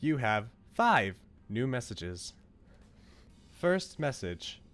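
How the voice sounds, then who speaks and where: A man voicing a robotic automated voicemail system with drawn-out, flat announcements. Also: medium pitch, heavily acted. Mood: mechanical.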